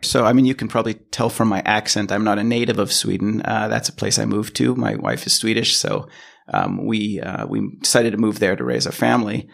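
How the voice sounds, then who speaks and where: An American man answers interview questions in a low, gravelly voice full of fry. He explains in a conversational way, thinking as he goes and often restarting his phrases. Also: a little fast, a bit bright. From California, United States. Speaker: podcast guest, product engineer.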